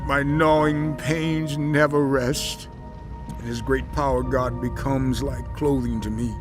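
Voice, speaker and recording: An actor playing a suffering righteous man in a dramatized audio Bible, with solemn, impassioned, measured delivery. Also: medium pitch, steady tone, music in the background, close to an everyday voice. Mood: anguished, pleading.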